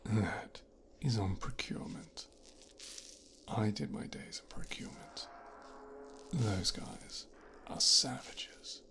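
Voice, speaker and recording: A low-voiced young mafia boss's son, acted by a man, murmurs to the listener in a breathy half-whisper. He takes his time with every phrase, arrogant and bitter. It is an intimate ASMR roleplay with cold, condescending menace. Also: pushed and bright. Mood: disgruntled, contemptuous. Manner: slow, deliberate, condescending, profane. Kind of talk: scripted ASMR roleplay, speaking directly to the listener.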